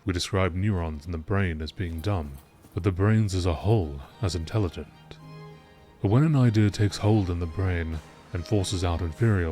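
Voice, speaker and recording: A man reading scripted lore texts in a nature documentary narration style, with measured, deliberate phrasing. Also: low voice, mellow.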